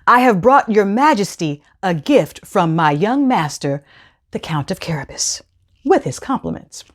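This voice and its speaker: A woman's voice: medium pitch, very animated, measured pace. A woman voicing a clever, confident male storybook cat with a courtly, persuasive manner.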